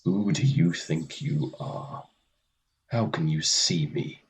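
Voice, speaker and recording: Man acting as Death, low, slow and husky. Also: full character voice. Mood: curt, annoyed. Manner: deadpan, curt, formal, precise. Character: Death, weary, stern, gentlemanly and easily irritated. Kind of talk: radio play acting.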